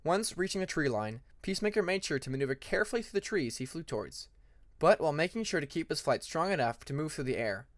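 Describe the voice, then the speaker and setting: A man's voice: on the high side, very animated, fast talker, no room sound, studio quality. A man narrating scripted fiction as an audiobook reader, with a dramatic storytelling manner.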